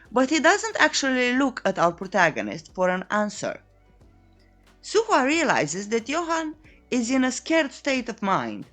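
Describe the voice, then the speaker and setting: A woman's voice: on the high side, a bit bright. A woman narrating a scripted comic recap in a playful, tongue-in-cheek, coy manner. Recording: voice-over with background music. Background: music.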